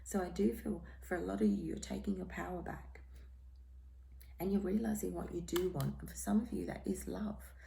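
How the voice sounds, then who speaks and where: A hoarse, croaky woman giving a tarot reading in a soft, half-whispered, reassuring way. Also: lowish voice, steady tone, moderate pace, a little hiss or hum, low-quality recording. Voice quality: croaky, throat-clearing. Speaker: tarot reader. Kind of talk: casual tarot reading monologue.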